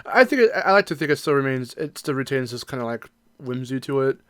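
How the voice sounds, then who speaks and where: A man co-hosting a casual podcast discussion, talking in a halting, reflective way and hedging his opinions. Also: medium pitch, lively intonation, fairly bright tone, clean tone, no echo, clean studio sound. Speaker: podcast co-host.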